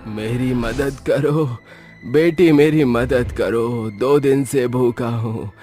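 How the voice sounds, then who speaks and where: Performer as a frail, slow, pleading old beggar man. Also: mid-range voice, steady tone, mellow, faint music behind, pitched below the performer's own voice, close to an everyday voice. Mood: weak, pleading.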